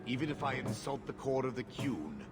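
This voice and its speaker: A man's voice: medium pitch, big pitch swings, fairly quick, lightly acted. Game role, male actor: horned guard, middle register, proud, warning, solemn, heavy music underneath. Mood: stern warning. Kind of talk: video game acting.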